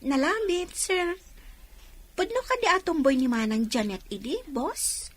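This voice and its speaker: A cheerful young woman in a radio drama. Her voice is high and bright, and she teases with a playful manner. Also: big pitch swings, unhurried.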